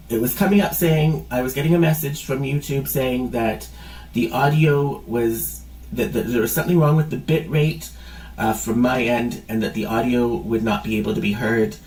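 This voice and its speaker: A man's voice: medium pitch, moderate pace, darkish tone. A man hosting his own channel, ranting casually and indignantly, swearing and repeating himself. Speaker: channel host. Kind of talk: angry casual rant to camera. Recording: roomy sound from a distant mic.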